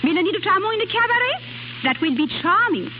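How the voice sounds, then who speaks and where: A woman's voice: extremely high pitch, sing-song, quick pace. A woman playing a proud, emotional young French cabaret singer with a French accent, in a 1930s radio drama. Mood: angry, defiant. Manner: indignant, emotional, foreign phrasing. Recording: noisy, dull 1930s radio recording.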